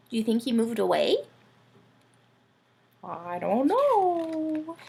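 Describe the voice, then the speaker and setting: A woman reading a picture book aloud for young children in an expressive, dramatic storytelling style. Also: mid-range voice, creaky.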